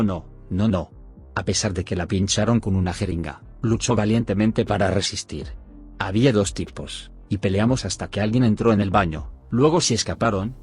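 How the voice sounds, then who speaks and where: Guy w a lowish voice reading a story out loud, soft music behind. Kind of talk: reading a forum story aloud. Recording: faint background music.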